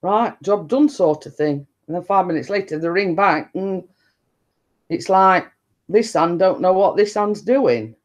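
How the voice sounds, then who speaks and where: A woman with a deep-toned voice talks slowly and unhurriedly to viewers on a live stream. She meanders through her story, leaning on stock phrases and circling back to the same point. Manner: chatty, meandering, repeats stock phrases and laughs often. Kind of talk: rambling casual chat.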